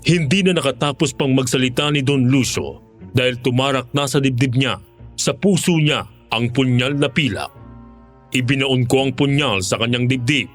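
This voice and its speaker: A man playing a former monster hunter, narrating his grim experience in Tagalog in a serious, measured way. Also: medium pitch, faint music behind, close to an everyday voice. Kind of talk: dramatic horror story narration in Tagalog.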